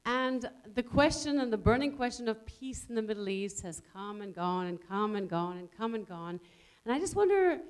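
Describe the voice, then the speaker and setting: A woman's voice: a little high, darkish tone. A woman from Kuwait asking a spontaneous question from the audience, repeating phrases as she searches for her wording.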